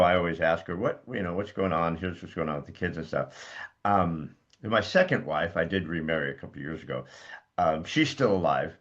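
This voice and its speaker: Deep, lively joking male host. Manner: joking, teasing, laughs often. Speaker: podcast host. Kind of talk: casual interview chat. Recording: dull lo-fi remote audio.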